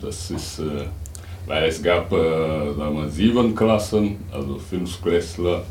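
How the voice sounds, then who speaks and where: A middle-aged man from Senegal, talking casually and reflectively as an interview guest, halting now and then. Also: extremely low pitch, a little mellow. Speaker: interview guest, writer and German teacher. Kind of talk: casual, unscripted interview talk. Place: radio studio. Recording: roomy, distant mic, faint noise.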